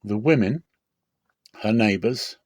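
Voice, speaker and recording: A man's voice: lowish voice, slow, darkish tone, no room sound, studio quality. A man reading a Bible story aloud as a narrator, in a dramatic scripted style.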